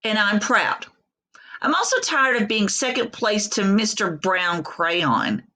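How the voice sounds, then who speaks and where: A woman voicing a proud, slighted storybook crayon, grumbling in a deliberate, indignant way. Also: medium pitch, animated, sharp tone.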